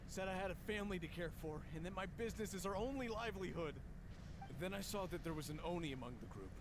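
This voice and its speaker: An actor playing a timid, shaken merchant in a video game, telling things anxiously and earnestly. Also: male voice, high-pitched, lively intonation, fast, noisy background. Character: nervous, shaken male merchant, timid family man.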